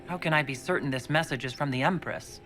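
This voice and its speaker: A man's voice: fairly high pitch, fast talker, natural voice. Guy voicing a wary inquisitor, dry and careful, game music faint behind. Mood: skeptical. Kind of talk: video game dialogue. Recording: game audio with music underneath.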